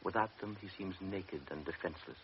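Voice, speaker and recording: A deep-voiced man narrates a vintage radio play, measured and grave. The sound is dull and muffled, with a faint hiss behind it. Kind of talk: scripted radio drama narration.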